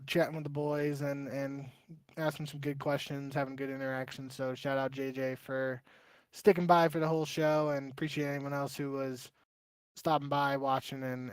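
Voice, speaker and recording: A man's voice: on the high side, clear, resonant tone, dry recording. A man co-hosting a livestreamed podcast in casual, chatty talk, poking fun at his own predictions. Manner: chatty, self-deprecating about his picks. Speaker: podcast co-host.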